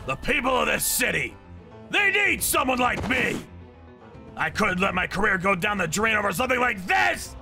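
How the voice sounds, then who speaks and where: A man voicing an arrogant, brutish villain in an over-the-top manga dub, loud, strained and frantic. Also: high voice, sing-song, moderate pace, vocal fry, faint music behind, exaggerated voice. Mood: defiant. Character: arrogant, brutish male villain, ranting and screaming.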